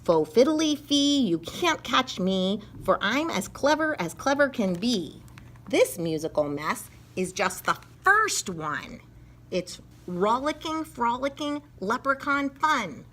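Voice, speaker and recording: A crafty little storybook leprechaun acted out by a woman, gleeful and full of mischief. He taunts in sing-song rhyme with a bright, very lively voice that swoops up and down. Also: a little high, slow, heavily acted. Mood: boastful, playful.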